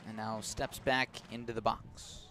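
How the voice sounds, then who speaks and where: An American man doing live radio baseball play-by-play from a ballpark, slipping between pitch calls and conversational asides. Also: flat delivery, a little slow, music in the background. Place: outdoors at a ballpark.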